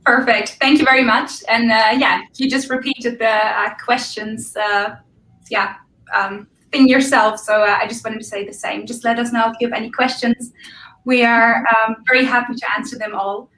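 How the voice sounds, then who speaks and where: A woman's voice: a little high, steady tone, a bit bright. A woman moderating a webinar, chatty with fillers as she hands over to speakers. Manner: chatty, fillers, quick handovers. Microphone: laptop mic on a video call, far from it. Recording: echoey room, distant from the mic.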